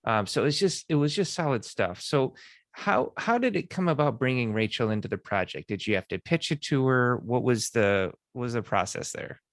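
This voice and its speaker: A man's voice: mid-range voice, lively intonation, no room sound, clean studio sound. A man hosting a podcast, chatting casually and enthusiastically with his guest. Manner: enthusiastic, quick to affirm. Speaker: podcast host. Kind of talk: casual interview chat.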